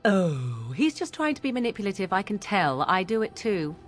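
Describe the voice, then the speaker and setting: A sharp-tongued young woman, speaks with arch, haughty disdain in a video game. Her pitch is medium but moves expressively, with faint music underneath. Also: sing-song, normal speed. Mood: wry, knowing. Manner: disdainful, exasperated, archly formal. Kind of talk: video game dramatic acting.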